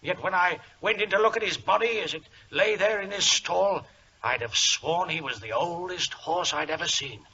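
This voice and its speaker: Agitated British colonel, blustery racehorse owner, piercingly forceful, vintage radio drama, muffled noisy recording. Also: male voice, high-pitched, moderate pace. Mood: indignant. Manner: blustery, emphatic. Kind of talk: 1940s radio drama acting.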